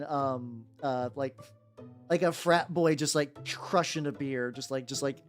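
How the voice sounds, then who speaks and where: A streamer chatting casually and irreverently while painting miniatures, swearing freely and often stammering or repeating words mid-sentence. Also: mid-range voice. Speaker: livestreamer. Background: background music.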